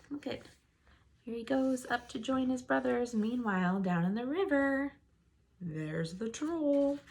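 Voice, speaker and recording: A woman teacher reading a storybook aloud and teaching children, expressive, posing questions to her listeners. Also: a little high. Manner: expressive, asks listeners questions and answers them.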